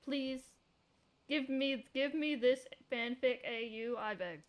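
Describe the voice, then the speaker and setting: A woman's voice: high voice, slow. A woman streamer giving casual live commentary on a game, blunt and freely swearing. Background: faint game music.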